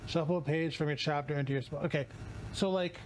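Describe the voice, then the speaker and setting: A hoarse man streaming casually while opening card packs, rambling, swearing and often restarting his sentences. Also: fairly high pitch, steady tone, quick pace, a little hiss or hum.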